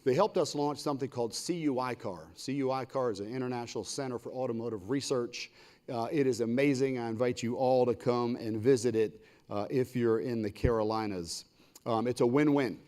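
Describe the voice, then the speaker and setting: A man's voice: mid-range voice, fairly quick, a little mellow. A man with an American accent speaking as a university leader on a public panel, semi-formal and enthusiastic, in short punchy phrases.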